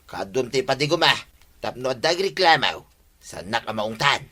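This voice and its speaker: A man's voice: mid-range voice, big pitch swings, moderate pace, bright, forceful tone. A man with an Ilocano accent playing a roguish, bantering tricycle driver husband in a comedic radio drama, with music behind.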